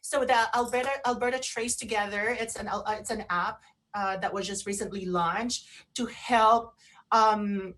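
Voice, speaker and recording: A woman co-hosting an online radio show, chatting casually and explaining things in a halting way. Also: medium pitch, steady tone, fairly bright tone. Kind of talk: casual talk, explaining and giving shout-outs.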